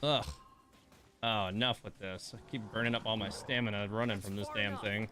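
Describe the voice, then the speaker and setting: A man's voice: on the low side, fairly even intonation, normal speed, over music. A man giving casual live commentary as he plays a game, muttering and grumbling his reactions. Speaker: game streamer.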